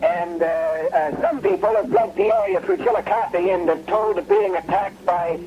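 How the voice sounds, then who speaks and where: An actor playing a matter-of-fact veteran newscaster filing a halting report over two-way radio in a 1970s radio drama. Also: male voice, high voice, steady tone, soft background music. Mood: halting, uneasy. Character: veteran male newscaster reporting calmly from small town. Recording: dull, noisy two-way radio link.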